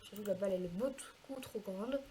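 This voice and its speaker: A woman with a cold explaining a video game in a chatty, hesitant way, pausing often to fill gaps while she plays. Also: mid-range voice, warm tone, slight background noise. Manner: chatty, hesitant, frequent filler pauses. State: has a cold, congested. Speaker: gaming video creator. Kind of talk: casual gameplay tutorial commentary.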